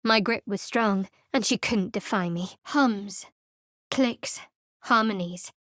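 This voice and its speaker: A woman narrating a fantasy video game in measured, evocative storytelling. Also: medium pitch, fairly bright tone, no echo, studio quality. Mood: steady. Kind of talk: scripted second-person game narration. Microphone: close studio mic.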